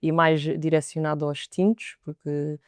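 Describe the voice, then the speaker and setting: A woman's voice: low-pitched, level tone, unhurried, dry recording, studio quality. A young woman with a European Portuguese accent, a sommelier answering interview questions thoughtfully, often repeating a word as she finds the next thought.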